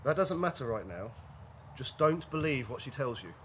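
A man's voice: mid-range voice, very animated, moderate pace, a bit bright, a little hiss or hum. Mysterious man, audio drama: urgent, cryptic warnings, apologetic, mid-range, faint ambience behind. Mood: insistent, secretive. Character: urgent, secretive male stranger giving a warning.

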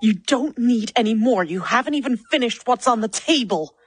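An actor playing an exasperated, deadpan, nagging partner in a comedic cartoon dub. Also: medium pitch, normal speed, husky, no echo.

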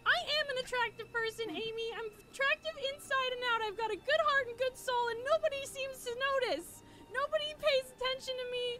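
A woman's voice: very high-pitched, brisk, hardly any pauses. A woman voicing a whiny, exasperated young male fox in an improvised comedy fan dub. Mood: needy.

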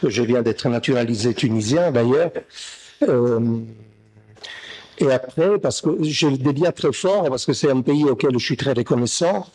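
A middle-aged man from Sicily, a native Italian speaker, giving a halting conference talk at a desk mic with many fillers and restarts. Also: mid-range voice, darkish tone, rough recording. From Sicily, Italy. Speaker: guest speaker, academic. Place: ornate tiled room indoors. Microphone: desk gooseneck mic.

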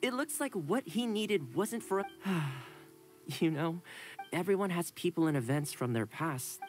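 A man's voice: on the high side, animated, a little slow. A man playing a friendly, considerate young retainer in a video game, with a light, easygoing, earnest delivery. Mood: thoughtful. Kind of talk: video game dialogue acting. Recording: game music behind.

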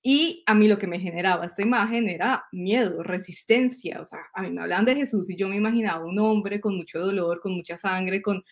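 Animated Colombian woman on a video call. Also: mid-range voice, fairly quick, few pauses. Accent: Colombian Spanish. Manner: animated storyteller, laughs often, repeats words. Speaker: host, astrologer. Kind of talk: casual spiritual conversation. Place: home room, indoors. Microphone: video call webcam.

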